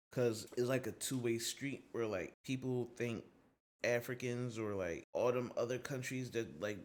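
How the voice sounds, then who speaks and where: Slangy, rambling male streamer. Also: mid-range voice. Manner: loose, rambling, slangy and frank. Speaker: streamer reacting to a video. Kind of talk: casual reaction commentary.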